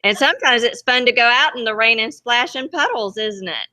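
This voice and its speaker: A woman teacher reading a picture book aloud to young children in an expressive, chatty way, often asking them questions. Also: fairly high pitch, fairly quick. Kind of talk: expressive read-aloud storytime.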